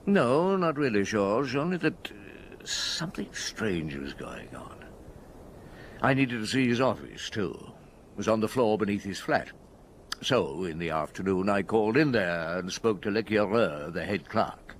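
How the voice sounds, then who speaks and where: A calm, shrewd middle-aged detective in a radio drama. His voice sits low and moves freely up and down as he probes with measured questions and thoughtful murmurs. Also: a little hiss or hum. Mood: reflective. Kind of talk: naturalistic radio drama acting.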